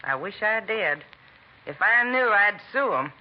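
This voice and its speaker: Chatty, folksy American housewife played by an actress. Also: female voice, medium pitch, lively intonation, measured pace, close to an everyday voice. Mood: aggrieved, chatty. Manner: talkative, folksy, blunt. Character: chatty, nosy, working-class housewife, television fan. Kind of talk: 1950s radio drama acting. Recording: muffled vintage radio recording, faint noise.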